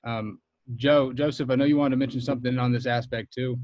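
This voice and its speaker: A young man hosts a video call panel from outdoors, firing off casual questions at a brisk clip. His voice comes through dull and dry. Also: mid-range voice, steady tone, darkish tone, dry recording. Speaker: panel moderator. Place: outdoor covered porch. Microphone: video call webcam.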